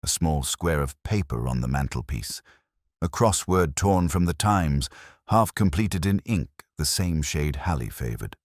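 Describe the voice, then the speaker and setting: Very deep, brooding male detective narrator. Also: expressive, dry recording, clean studio sound. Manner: measured, brooding, literary. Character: weary, reflective male police detective narrating. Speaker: narrator of a detective audio drama. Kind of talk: scripted first-person mystery narration.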